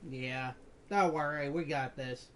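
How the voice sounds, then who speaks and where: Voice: mid-range voice, steady tone, takes it slowly. A video host giving casual, offhand gameplay commentary in short muttered reactions. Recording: loud game music behind voice. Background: video game music.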